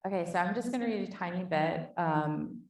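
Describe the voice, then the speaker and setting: Low gravelly woman in an echoey hall. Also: brisk, a little mellow, far from the mic. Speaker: author and panel guest. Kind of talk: conversation with a reading from her book. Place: conference hall.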